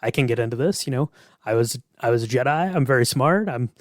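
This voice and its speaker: A man's voice: mid-range voice, lively intonation, fast talker, no room sound, studio quality. A man hosting a podcast, chatting casually and explaining things in a tentative, hedging way. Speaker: podcast host. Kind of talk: casual chat about game lore.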